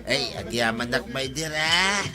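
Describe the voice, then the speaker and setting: A man's voice: mid-range voice, animated, a little slow, natural voice. An actor with an Ilocano accent playing a flirtatious, hapless married village man in an audio drama. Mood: sweet, giggly. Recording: music under the voice.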